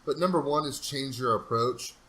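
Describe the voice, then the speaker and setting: A man's voice: mid-range voice, normal speed, pushed and bright, poor audio. A man co-hosting a casual sales podcast, talking in a loose, rambling, motivational way about sales habits. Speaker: podcast co-host.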